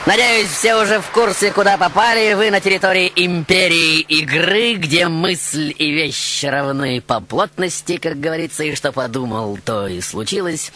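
A man's voice: on the high side, moderate pace, hardly any pauses, sharp tone, slight background noise. A man hosting a radio show with a theatrical monologue, grandiose and playful in his delivery. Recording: radio show over prominent music. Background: music.

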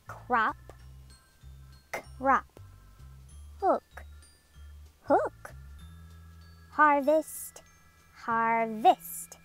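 A woman reading a picture book aloud to children, enunciating each word deliberately and sounding out vocabulary with animated emphasis. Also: sits high, faint music behind. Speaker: read-aloud host for children.

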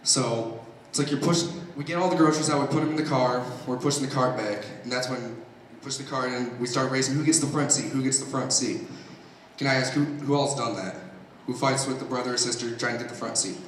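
A man's voice: mid-range voice, fast. Teenage boy in a very echoey hall. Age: teens. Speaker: high school pageant contestant performing on stage. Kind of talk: stand-up style anecdote. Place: large school auditorium stage. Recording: echoey auditorium from far off, faint noise.

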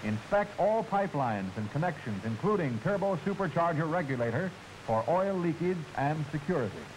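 An American man reads scripted instructional narration in the style of a 1940s military film. His voice sits fairly high and moves with lively intonation, formal and clearly enunciated. The old soundtrack carries a faint hiss. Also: moderate pace.

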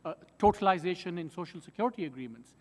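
Hesitant male witness, fairly high voice. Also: fast. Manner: hesitant, many fillers and restarts, animated hands. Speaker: witness at a hearing. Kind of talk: testimony. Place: large wood-panelled hearing room. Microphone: desk microphone. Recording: long reverb of a large hearing room.

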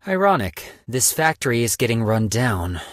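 An actor playing a money-minded, cynical male stock broker in a video game, with a wry, businesslike, politely detached manner and a husky voice. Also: mid-range voice, very animated, moderate pace, a bit bright, no room sound, clean studio sound. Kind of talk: video game character voice lines.

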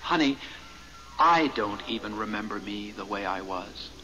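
Weary, low-voiced ghost husband. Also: male voice, lively intonation, moderate pace, sharp tone, soft background music, rough recording. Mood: gentle, evasive. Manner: hesitant, evasive, weary. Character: reluctant, gentle ghost of a dead husband. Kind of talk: 1970s radio drama acting.

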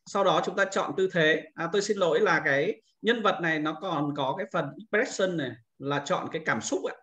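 A man's voice: on the high side, fairly quick, sharp tone, highs cut off. A man giving an informal screen-recorded tutorial as an instructor, explaining things step by step and often repeating himself.

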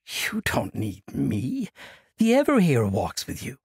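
A man's voice: medium pitch, huge pitch swings, unhurried, husky, dry recording, studio quality, full character voice. A man voicing an eccentric, cheerful old monk with a sing-song, scatterbrained manner. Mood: jovial.

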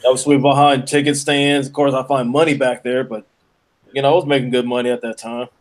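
A man's voice: medium pitch, level tone, fast. A man in his thirties from Kentucky with an American accent, chatting casually as a podcast co-host. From Kentucky, United States. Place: plain room at home, indoors.

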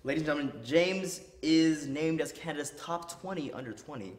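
A man's voice: on the high side, fairly quick. Young male student host, casual and encouraging, mid-range voice in a reverberant room. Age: young adult. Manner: friendly, laid-back, lots of affirming murmurs. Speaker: interview host. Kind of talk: student interview show. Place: wood-paneled room by a fireplace, indoors. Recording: roomy room sound.

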